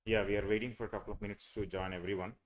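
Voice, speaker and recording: A man's voice: lowish voice, little pitch movement, very fast. A young man, teaching a live online class on a video call, hesitant with many fillers and restarts. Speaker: online course instructor.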